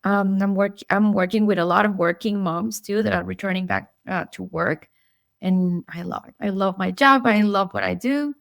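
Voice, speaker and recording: Mexican lady in her 30s, friendly podcast guest, gravelly voice, dry close sound. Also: medium pitch, moderate pace, no room sound. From Monterrey, Mexico. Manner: friendly, with frequent restarts and light laughs. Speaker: guest psychologist and sleep coach. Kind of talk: casual interview chat.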